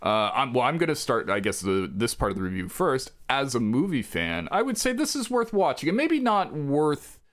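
A man's voice: mid-range voice, sing-song, moderate pace, few pauses, fairly bright tone. A man co-hosting a podcast and giving a casual movie review. His voice rises and falls widely, and his talk is chatty, with frequent self-corrections. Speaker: podcast co-host.

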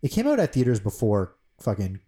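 Excitable man with a lively voice. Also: on the low side, a little fast. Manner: rambling, excitable, many fillers and restarts. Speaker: podcast co-host. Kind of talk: casual chat about movies.

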